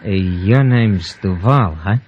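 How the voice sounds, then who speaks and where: An actor playing a bullying, slow-witted American henchman in an old-time radio drama, with rough, slangy menace. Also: low-pitched, lo-fi sound. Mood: menacing.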